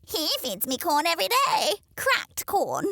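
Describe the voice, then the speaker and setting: Woman voicing a haughty, high-pitched mother hen. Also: huge pitch swings, unhurried, vocal fry, pushed and bright, no room sound, studio quality, full character voice. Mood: proud, huffy. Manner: overbearing, self-important, prim. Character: vain, haughty mother hen, easily offended.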